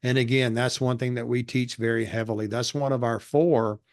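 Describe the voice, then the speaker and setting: Monotone man explaining steadily. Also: mid-range voice, moderate pace. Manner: steady explanatory delivery with fillers. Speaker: guest, real estate investor and educator. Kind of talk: casual interview talk.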